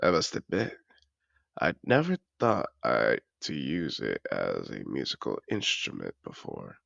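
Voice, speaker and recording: A man's voice: extremely low pitch, wildly swooping pitch, unhurried, a bit bright, dry recording, highs cut off, pitched below the performer's own voice, heavily acted. A man voicing a suave, seductive dragon disguised as a wealthy aristocrat in a fantasy audio roleplay, speaking in a courtly, formal way. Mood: teasing, seductive. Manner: courtly, formal, smooth-talking.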